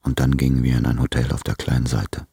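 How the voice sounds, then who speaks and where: An actor playing an anguished, self-tormenting letter-writer confessing to his lover in a literary radio drama, brooding and halting. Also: rumbling low, level tone, vocal fry, dark tone, husky, dry recording, clean studio sound, exaggerated voice. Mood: brooding recollection.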